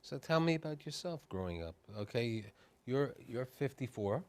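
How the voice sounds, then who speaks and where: A man with a mid-pitched, very animated voice hosts a television interview. His delivery is conversational and emphatic, rising and falling a lot as he prompts and narrates. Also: normal speed.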